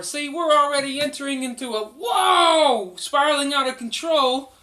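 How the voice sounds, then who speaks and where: A channel host giving a casual, rambling lesson on studying the Bible, getting excited as they jump from one reference book to the next. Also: mid-range voice. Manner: rambling and excitable, jumps between topics; conversational, folksy phrasing. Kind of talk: casual instructional talk, showing books to camera.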